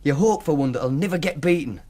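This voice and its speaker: A man's voice: on the high side, lively intonation, fairly quick, darkish tone. A man acting in a radio drama as a cocky, teasing racing punter with a Yorkshire accent, speaking colloquially like a storyteller. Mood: nostalgic, wistful. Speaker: actor.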